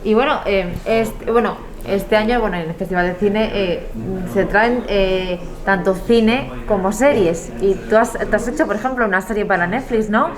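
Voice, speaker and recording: A woman asking questions as an interviewer in a halting, conversational way. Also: medium pitch. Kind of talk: casual festival interview questions. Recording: crowd chatter behind voice.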